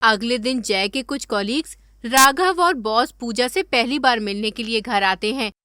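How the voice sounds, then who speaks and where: A woman narrating a scripted animated story as its storyteller. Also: a little high, measured pace, dry recording, clean studio sound.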